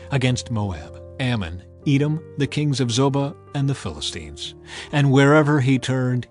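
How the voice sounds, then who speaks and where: A man reading scripture as a narrator in a measured, solemn way. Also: mid-range voice.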